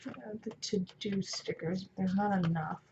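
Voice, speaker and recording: A woman with a slightly hoarse, raspy voice chats casually, thinking aloud as she works through a craft project. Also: mid-range voice, steady tone, a little slow. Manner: thinking aloud while working. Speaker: planner vlogger. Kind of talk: casual chatty craft narration. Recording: muffled sound with clear background noise.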